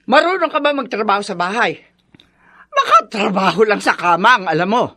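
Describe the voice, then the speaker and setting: A woman's voice: mid-range voice, expressive, brisk, bright, forceful tone. An actress playing a domineering, scornful middle-aged mother-in-law with a Filipino accent, bossy and sarcastic, in a radio drama. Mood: stern, scornful.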